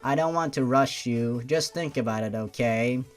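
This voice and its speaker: A man's voice: medium pitch, moderate pace, faint music behind, voice pushed down, lightly acted. A man voicing a sweet, nervous young male suitor from a story, speaking in a bashful, hesitant way. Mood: bashful, hopeful. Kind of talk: mock-dramatic storybook reading.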